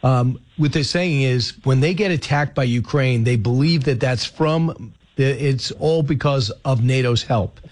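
A man hosting a talk radio show, chatting casually about politics and firing off questions with quick asides. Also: mid-range voice, darkish tone.

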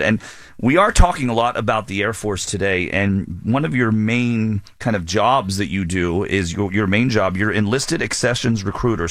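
Low-voiced male radio host. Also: a bit bright, dry recording. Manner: polite, prompting questions. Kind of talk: radio interview.

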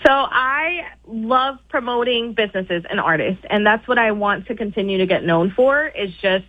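A woman's voice: fairly high pitch, lively intonation, moderate pace, no room sound. Bubbly Puerto Rican woman on the phone. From Puerto Rico. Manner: goofy, upbeat, laughs often. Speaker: caller, promoter. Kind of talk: on-air radio interview. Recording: dry tinny phone line.

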